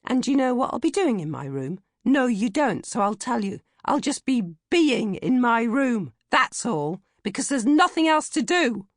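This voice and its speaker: A woman's voice: on the high side, big pitch swings, a little slow, few pauses, dry recording, voice pushed up. A sulky, self-pitying British teenage girl acted by a woman reads out her comic diary. Her voice swoops up and down with theatrical indignation, in a close, dry recording. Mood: indignant, sulky. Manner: exaggerated, indignant, theatrical complaining. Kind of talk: comic first-person audiobook diary narration.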